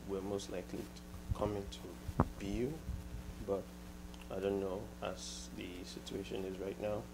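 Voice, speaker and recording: A man's voice: lowish voice, vocal fry. A man from Nigeria, an international student giving hesitant, formally worded interview answers. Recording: noisy hum in the background.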